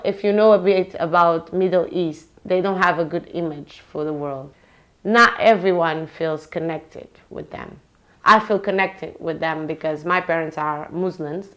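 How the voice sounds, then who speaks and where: A young nurse born in Portugal speaks in a low, steady voice. She talks earnestly and thoughtfully, stopping now and then to search for the right word. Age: young adult. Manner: earnest, reflective, pauses often to find words. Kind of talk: documentary interview. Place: London.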